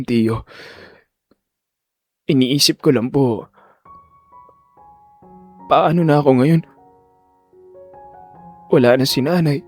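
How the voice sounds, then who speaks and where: Man voicing a sorrowful teenage boy, raised pitch. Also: darkish tone. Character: grieving, polite orphaned boy of high-school age.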